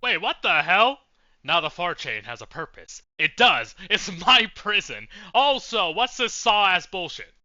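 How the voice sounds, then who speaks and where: A man's voice: high-pitched, very animated, a little slow, sharp tone, dry recording, highs cut off. A man casually commentating while playing a horror game, jokey and swearing often. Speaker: let's play streamer.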